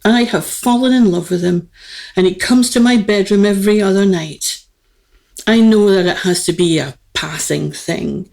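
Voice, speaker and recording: A woman's voice: mid-range voice, moderate pace, creaky, darkish tone, lightly acted. A woman playing an aristocratic, lovelorn queen, grand yet girlish, with stately, formal theatrical diction in a comic radio play. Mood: wistful.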